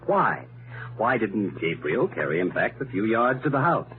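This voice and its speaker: A thin, noisy vintage radio recording carries a brilliant American amateur detective. He reasons aloud step by step with emphatic confidence, his pitch rising and falling freely as he builds his case. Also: very animated. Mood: building to reveal. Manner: deliberate, methodical, emphatic. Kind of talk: 1930s radio mystery acting. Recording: noisy, narrow-band 1930s radio recording.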